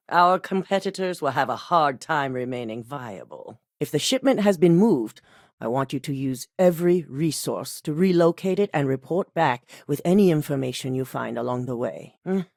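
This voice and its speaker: A cool, commanding corporate executive. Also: female voice, sits low, darkish tone, no echo, studio quality. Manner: clipped, controlled, coolly authoritative. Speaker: game character. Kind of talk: recorded game dialogue lines.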